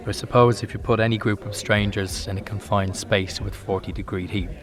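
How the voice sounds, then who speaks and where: Low-voiced, weary waiter played by a man. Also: steady tone, fairly quick, music in the background, natural voice. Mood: resigned. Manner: repetitive, brooding, muttering asides. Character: weary, sardonic male waiter, inner monologue. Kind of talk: stream-of-consciousness audio fiction monologue. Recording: busy cafe crowd noise behind. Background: cafe chatter.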